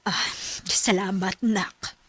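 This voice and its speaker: An actress playing a caring mother in a radio drama, speaking gently and warmly to her son. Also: mid-range voice, fairly even intonation, slow, loud music behind the voice, lightly acted. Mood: gentle. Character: caring working-class mother.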